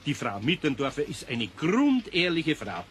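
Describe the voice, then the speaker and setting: A man's voice: fairly high pitch, huge pitch swings, normal speed, a bit bright. Older bavarian workman, grumbly but kind, chatty and sensible, bit of tape noise, radio play. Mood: reasoning, soothing. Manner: colloquial, grumbly, reasonable. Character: grumbling, good-hearted older Bavarian craftsman. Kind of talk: children's radio play acting. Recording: noisy old cassette audio.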